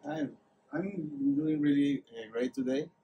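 A man's voice: a little high, big pitch swings, slow pace, creaky, warm tone, slight background noise, low-quality recording. Earnest man from El Salvador. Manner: earnest, hesitant, slightly broken English. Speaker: visiting neurosurgery fellow. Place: anatomy lab.

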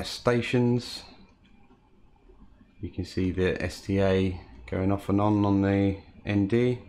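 A man from the United Kingdom, a home cockpit builder, calmly walking through his hobby build with frequent "uh" fillers. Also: low voice, a little hiss or hum. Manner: calm, unhurried, frequent "uh" fillers. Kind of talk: casual hobby walkthrough.

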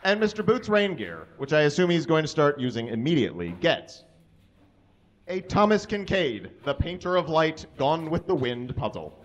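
A man in his thirties performing a comic reading live on a small stage into a stand microphone, in a halting way. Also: fairly high pitch, sing-song, lo-fi sound, noisy background. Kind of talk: comic live reading of fan fiction to an audience. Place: small indoor stage with curtain and brick wall.

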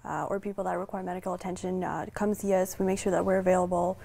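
A woman's voice: lowish voice, flat delivery, quick pace, gravelly, mellow. A young adult woman answering questions conversationally as a guest in a television interview. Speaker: volunteer ski patroller, interview guest. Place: TV studio, indoors. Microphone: lapel mic.

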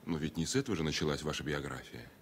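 Deep-voiced, romantic engineer. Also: male voice, lively intonation, rapid-fire, breathy edge, heavily acted. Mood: polite, curious. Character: romantic, reserved male safety engineer in love. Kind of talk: Soviet radio drama acting.

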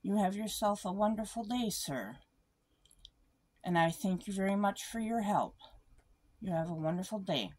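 A middle-aged woman speaking in a polite, deliberate, earnest way, as if giving a formal statement or phone message. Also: medium pitch, lively intonation, darkish tone, husky. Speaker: vlogger making a phone call. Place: small room indoors.

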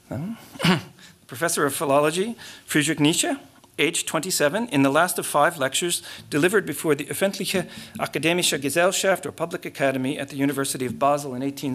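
A man's voice: on the high side, fairly bright tone. A middle-aged man delivering a keynote lecture from a lectern, reading from notes with restarts and muttered asides. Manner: measured, reads aloud with frequent restarts and asides. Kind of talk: academic lecture read from notes. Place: large lecture hall stage with curtains. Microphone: lectern microphone.

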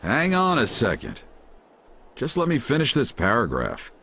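A man's voice: medium pitch, wildly swooping pitch, measured pace, sharp tone, slightly rough, exaggerated voice. A man voicing a hearty, wise middle-aged ninja mentor in an American-accented anime dub. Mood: sheepish, proud. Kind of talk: English anime dub acting.

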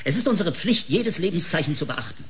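An actor playing a stern, defensive official in a sci-fi radio drama, brusque and insistent. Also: male voice, fairly high pitch, very animated, a little fast, fairly bright tone, a little hiss or hum. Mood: defensive, urgent. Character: stern, defensive male official leading a crisis meeting.

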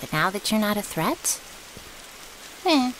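A woman's voice: mid-range voice, big pitch swings, measured pace, fairly bright tone, rough recording. A woman playing a cheeky, loyal vampire's servant in an intimate ASMR audio roleplay, with a casual, teasing way of talking. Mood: teasing, smug. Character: cheeky, flirty, loyal female thrall of a vampire. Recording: hissy, rain-like background noise.

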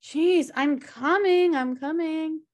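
A woman's voice: sits high, slow pace. An American woman playing a lazy, flippant slacker sister in a comedy table read, with a casual, dismissive way of talking. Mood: grumpy, dismissive. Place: indoor room, video call.

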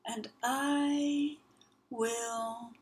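A woman voicing a sly, menacing male crocodile in a slow, hushed whisper. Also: on the high side, fairly even intonation.

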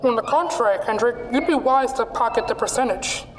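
Woman as menacing arthritic villain, pompous, high voice, radio play, reverberant hall. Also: fairly quick, over a noisy crowd, lightly acted. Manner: pompous, threatening. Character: menacing arthritic wealthy villain pressuring a music teacher. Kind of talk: radio drama acting. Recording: noisy, long hall reverb.

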